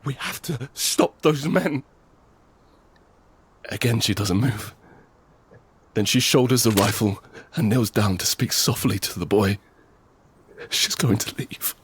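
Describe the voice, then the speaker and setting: An actor playing an injured, desperate father in a first-person audio drama, halting as he speaks. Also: mid-range voice, slight background noise, natural voice. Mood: desperate, pleading. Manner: halting, repetitive, pleading in dialogue. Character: injured, desperate father pleading to save his family.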